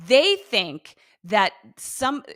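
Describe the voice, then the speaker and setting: Bright-voiced, irreverent woman podcaster. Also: fairly high pitch, big pitch swings, extremely slow, no echo, clean studio sound. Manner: irreverent, swears freely. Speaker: podcast co-host. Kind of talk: casual TV episode recap chat.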